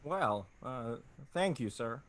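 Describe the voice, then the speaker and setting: A man's voice: medium pitch, expressive, slow pace. A man playing an earnest, flustered small-town family man in a 1940s radio drama, stammering in a folksy way. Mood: wary.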